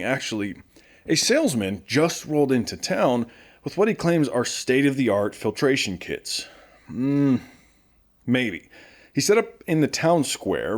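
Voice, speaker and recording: A man's voice: medium pitch, darkish tone. A man playing a chatty small-town morning radio host in a post-apocalyptic audio drama, talking straight to his listeners in a folksy way. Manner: conversational, addresses listeners directly. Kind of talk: scripted radio show monologue, folksy.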